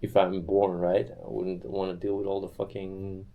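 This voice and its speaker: A man's voice: low-pitched, monotone, normal speed, narrow, tinny audio. A man hosting a casual live stream, talking bluntly and irritably with plenty of swearing. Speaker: livestream host.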